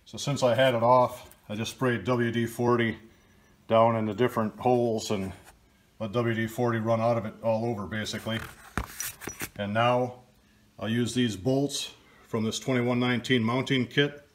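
An older man walks through a pump repair step by step, in a plain, practical tone. His voice sits at an ordinary middle pitch, and he talks casually into a camera mic in a workshop. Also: fairly even intonation, moderate pace. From Michigan, US. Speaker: DIY video host. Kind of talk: casual how-to narration. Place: workshop, table of pump parts.

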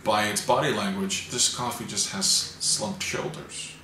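A man in his forties, dry and teasing, chatting with a friend on a couch. His voice is bright and a little sharp, and it sits back from the mic in a roomy, echoey living room. A faint hiss runs under it. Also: lowish voice, fairly even intonation, measured pace, far from the mic. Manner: dry, wry and teasing, reads ads aloud. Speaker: co-host. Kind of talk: casual chat. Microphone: camera a few feet away.

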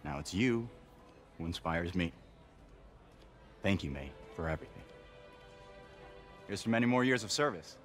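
Kindly older benefactor, low voice, measured, sincere, game cutscene, music behind. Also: male voice, animated. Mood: sincere tribute. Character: kindly, idealistic older male mentor and benefactor. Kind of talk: video game cutscene acting.

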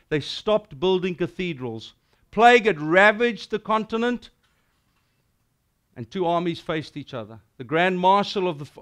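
A middle-aged man with a South African accent preaching a sermon, animated and declamatory as he tells a battle story and recites verse. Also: sits high. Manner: animated and declamatory, gesturing widely.

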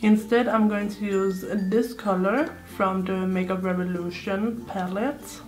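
A young woman with a slightly hoarse voice, chatting casually through a makeup tutorial at home. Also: medium pitch, fairly even intonation, measured pace, soft background music. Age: young adult. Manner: chatty, thinking aloud while applying makeup. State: slightly hoarse, sore throat. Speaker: beauty vlogger. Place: indoor room at home. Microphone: close camera facing her.

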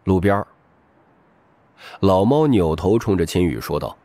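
A man's voice: sits low, expressive, a little slow, dark tone, no room sound. A man giving dramatic scripted narration of a crime novel as an audiobook narrator. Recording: music bed behind the voice.

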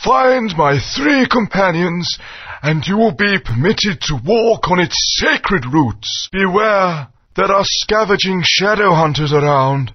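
A man's voice: sits high, lively intonation, takes it slowly, breathy edge, dry recording, heavily acted. A man voicing a wise, elderly dinosaur guardian who speaks solemnly and gives warnings. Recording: dull, dry lo-fi game audio.

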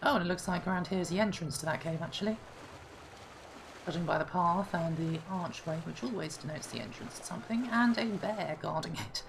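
A woman hosting a casual let's-play, chatting and thinking aloud, her voice slightly hoarse. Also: on the low side, lively intonation, a little fast, noisy background. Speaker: gaming channel host. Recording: clear game music behind.

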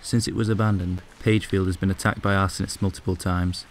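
A man's voice: low voice, little pitch movement, fast, a little hiss or hum. A man with a Northern English accent reading a scripted voice-over about a site he explored. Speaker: urban explorer narrator.

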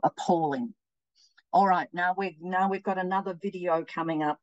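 A middle-aged woman running an anti-racism webinar, warmly reading participants' chat replies aloud and encouraging them. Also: fairly low pitch, steady tone, moderate pace, no echo, dull audio. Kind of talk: interactive webinar teaching. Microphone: video call.

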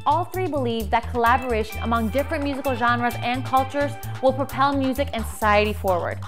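A woman's voice: on the high side, brisk. A young woman presenting a music programme in scripted narration. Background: music clearly playing underneath.

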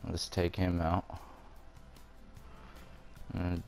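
Low voiced guy with some fry explaining a video game step by step, music going behind him. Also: monotone, drawn-out words, a little mellow. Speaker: gamer presenting a walkthrough. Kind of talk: casual video game walkthrough commentary.

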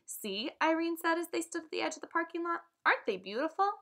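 A woman teacher reading a children's book aloud to students, with lively, expressive delivery and voices for the dialogue. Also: extremely high pitch, fast.

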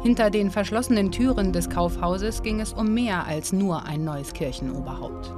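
A woman's voice: medium pitch, moderate pace. Woman narrating a documentary over music.

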